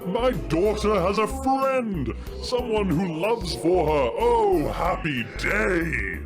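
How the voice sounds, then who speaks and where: A man's voice: high-pitched, sing-song, slow, over music, full character voice. A man playing an effusive, theatrical ancient dragon king, a doting and teasing father, in an audio drama. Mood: overjoyed. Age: older.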